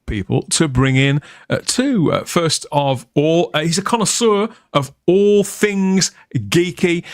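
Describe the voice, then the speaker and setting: A man's voice: medium pitch, dry recording, clean studio sound. A middle-aged man with a Birmingham accent hosting a live stream chat in a playful, jokey way. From England. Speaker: host.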